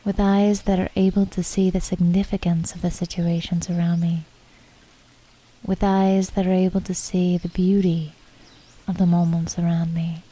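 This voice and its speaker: A woman leading a guided meditation in a calm, unhurried, reflective manner, with soft, breathy phrase endings and pauses between thoughts. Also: on the low side, rough recording.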